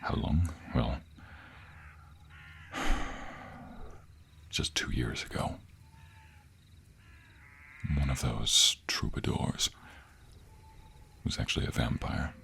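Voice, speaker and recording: A man voicing a devoted, protective vampire boyfriend in an intimate romantic audio roleplay, speaking earnestly and hesitantly, often in a breathy hush. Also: rumbling low, extremely slow, long pauses, a little hiss or hum, full character voice. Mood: hushed, troubled.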